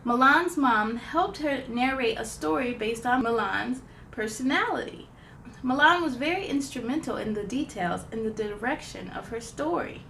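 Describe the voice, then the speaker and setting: A woman hosting a children's storytime, reading a picture book aloud in an excited, expressive, giggly way. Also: fairly high pitch, moderate pace, gravelly, slight background noise, poor audio.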